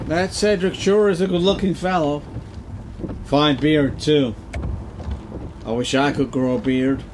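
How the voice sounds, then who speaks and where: A man's voice: fairly high pitch, fairly even intonation, measured pace, background noise, natural voice. A man playing a daft, literal-minded brother in a comic audio drama, speaking in a naive, earnest manner.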